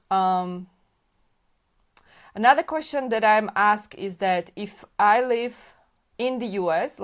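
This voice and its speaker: A woman, an immigration consultant, hosting a live stream and answering viewer comments in an informal, chatty way, with frequent fillers and self-corrections. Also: medium pitch.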